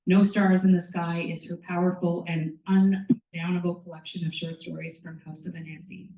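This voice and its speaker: A woman's voice: on the low side, little pitch movement, moderate pace, mellow, highs cut off. A woman hosting a reading series, giving a casual welcome and reading out a guest's introduction. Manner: casual, reads from notes. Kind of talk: event introduction. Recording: distant mic in echoey room.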